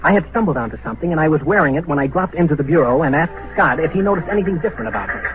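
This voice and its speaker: A man's voice: on the high side, expressive, racing, music in the background, lightly acted. An American man acting a brash, persistent young news reporter who narrates a mid-century radio drama with wry confidence. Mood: wry narration. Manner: wry, breezy, confident first-person delivery. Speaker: narrator and lead character. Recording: tinny vintage radio broadcast recording.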